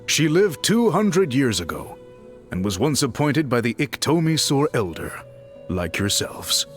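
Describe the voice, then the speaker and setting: An actor playing a courteous, encouraging craft mentor in a video game, speaking in formal, measured phrases. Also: male voice, mid-range voice, fairly bright tone.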